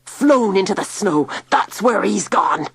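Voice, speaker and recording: A woman's voice: medium pitch, expressive, measured pace, gravelly, breathy edge, pitched above the performer's own voice, full character voice. A woman reader voicing Digby, a frightened, rustic old man who talks in gasps and grumbles. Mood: fretful grumbling. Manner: rustic, fretful, gasping.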